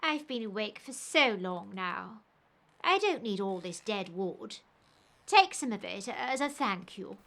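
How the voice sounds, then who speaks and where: A woman's voice: medium pitch, animated, a little slow, fairly bright tone. A woman playing a proud but kindly, weary female oak tree in a family audio drama. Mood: sleepy, resigned. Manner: reluctant, dramatic, sighing.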